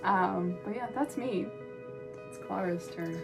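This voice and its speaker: A young woman talks casually and chattily to the camera, dotting her speech with "like" and "um" and giggling now and then. Her voice sits at an ordinary middle pitch for a woman. Clear music plays behind her indoors. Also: slow pace. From United States. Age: young adult. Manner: chatty and giggly, many fillers like "like" and "um". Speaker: co-host, art history student. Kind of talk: casual chat. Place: indoors, a room with no light on.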